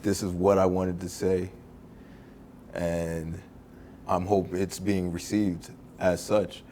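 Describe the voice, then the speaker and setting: A man's voice: sits low, flat delivery, takes it slowly, mellow, slight background noise. A man hosting a podcast, asking loose, joking questions with restarts. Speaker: podcast host. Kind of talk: casual interview.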